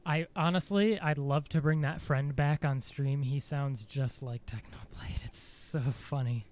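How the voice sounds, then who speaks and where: Monotone man chatting on a game stream. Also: mid-range voice, measured pace. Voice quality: monotone, dry delivery. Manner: rambling, with fillers and self-corrections. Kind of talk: casual live-stream chat.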